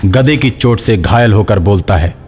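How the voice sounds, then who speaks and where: A man's voice: fairly low pitch, moderate pace, a little mellow. A man narrating a scripted horror folk story as a storyteller. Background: faint music.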